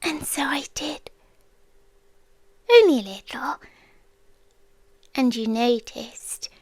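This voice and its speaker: Slow, high-voiced British ancient creature, acted by a woman. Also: no room sound, exaggerated voice. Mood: teasing, fascinated. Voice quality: soft, often slipping into breathy whispers. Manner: slow, deliberate, teasing, intimate. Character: ancient possessive female creature, a watchful, devoted stalker girlfriend. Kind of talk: yandere ASMR roleplay. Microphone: close mic, dry.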